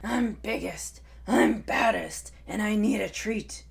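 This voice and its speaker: A woman voicing a blustering, bossy male Tyrannosaurus big brother in a storybook, with loud, growling roars. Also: medium pitch, takes it slowly, gravelly.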